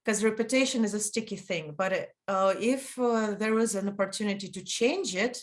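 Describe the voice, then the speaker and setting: A woman's voice: mid-range voice, normal speed. A young woman from northeast Estonia speaking on an online panel, hesitant, with many fillers and restarts. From Ida-Viru County, Estonia. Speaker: youth panelist.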